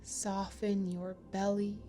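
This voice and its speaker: A woman leading a guided meditation in a gentle, soothing manner, with pauses between phrases. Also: medium pitch, level tone, faint music behind. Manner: slow, gentle, soothing delivery with long pauses.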